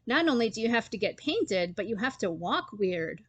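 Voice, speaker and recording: A woman's voice: mid-range voice, big pitch swings, moderate pace, no echo. A woman voicing a complaining, easily tired male villager in a children's story. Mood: effortful.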